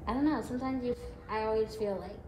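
A woman's voice: on the high side, quick pace, background noise. Hesitant woman in a roomy recording. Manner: hesitant, searching, many fillers. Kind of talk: reflective talk in a played video.

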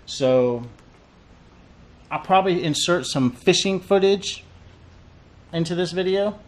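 A man's voice: high-pitched, slow. A man in his thirties chatting casually through a fishing tackle unboxing, rambling and repeating himself with lots of fillers, recorded on a desk camera in a cluttered tackle room. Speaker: fishing vlogger.

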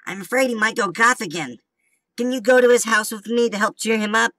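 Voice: mid-range voice, brisk, bright, forceful tone, no room sound, lightly acted. A performer voicing a worried, loyal cartoon schoolboy in an amateur comic dub, earnest and anxious.

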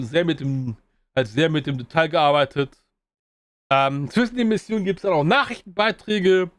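Man, slightly high voice, casual game review, rambling, frequent fillers, dry close-miked sound. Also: no echo. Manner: rambling, many fillers and restarts.

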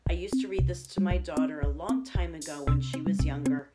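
Middle-aged woman reading a storybook, lowish voice. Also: brisk, loud music behind the voice. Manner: expressive, storytelling delivery. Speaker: children's book reader. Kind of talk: read-aloud picture book narration. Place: small room at home, indoors.

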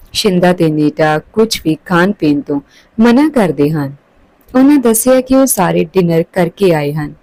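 A woman's voice: fairly low pitch, mellow, no room sound, rough recording. A woman reading a scripted story aloud as a narrator.

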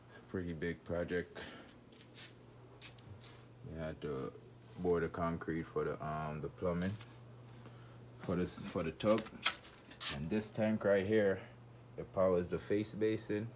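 Deep slow-talking new guy rambling thru his half-built basement on his phone, faint hum behind. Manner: relaxed, rambling, informal speech. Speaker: homeowner showing his basement renovation. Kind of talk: casual walkthrough narration. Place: unfinished basement under renovation. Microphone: phone camera held while walking.

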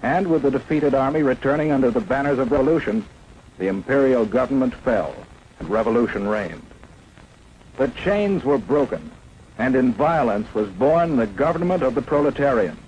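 A man's voice: medium pitch, animated, moderate pace, darkish tone, highs cut off. A man narrating a 1950s propaganda film in an emphatic, declamatory way. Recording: faint hiss of an old 1950s film soundtrack.

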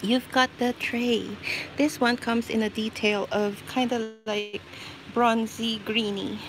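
A woman from the Philippines chatting casually on a live shopping stream, reading out prices and giving shout-outs as she walks around a store. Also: on the high side, level tone, slow pace. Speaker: livestream shopping host. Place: inside a large retail store. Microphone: handheld phone while walking the aisles. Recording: noisy shop floor phone livestream.

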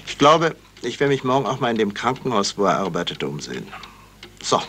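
An actor playing a thoughtful, suspicious amateur sleuth in a deliberate 1970s German radio crime drama style. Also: male voice, lowish voice, sing-song. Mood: pondering. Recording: hissy old radio play recording.

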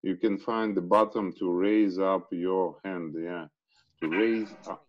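A man runs an online meeting in English, pausing often and restarting his sentences. His voice sits low, and the video call audio comes through muffled and dull. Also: steady tone, slight background noise. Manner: halting, many fillers and restarts. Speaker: webinar host. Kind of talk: hesitant online meeting hosting.

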